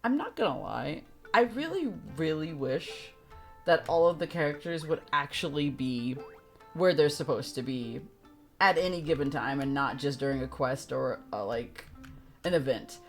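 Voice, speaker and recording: Low-voiced woman streamer, very animated pitch. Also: moderate pace. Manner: blunt, reactive, informal. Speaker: video game live streamer. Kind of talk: casual live game commentary. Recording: game music behind the voice.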